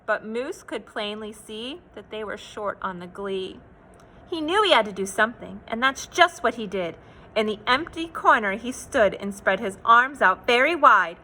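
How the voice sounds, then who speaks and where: High, lively woman reading a storybook. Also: normal speed, slight background noise. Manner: expressive, playful, sing-song delivery. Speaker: children's read-aloud storyteller. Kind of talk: animated picture-book read-aloud with asides to children.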